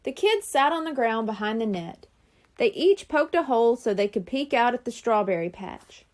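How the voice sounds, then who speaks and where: Female storybook reader, high voice, lively and expressive delivery for young listeners. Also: moderate pace. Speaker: reader of a children's chapter book. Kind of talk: expressive storybook read-aloud.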